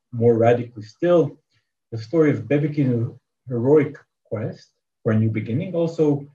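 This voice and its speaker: A man's voice: medium pitch, mellow, far from the mic, muffled recording. A man with a Hebrew accent giving a conference lecture from a prepared paper over a video call, hesitant, with many fillers and dry asides. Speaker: academic lecturer.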